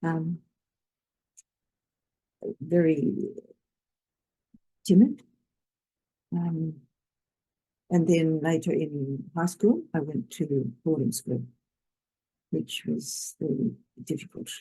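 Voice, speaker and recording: A speaker sharing memories of school on a video call in a halting way, with many pauses and fillers. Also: medium pitch, fairly even intonation, vocal fry, warm tone, husky, no room sound, low-quality recording. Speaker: webinar participant.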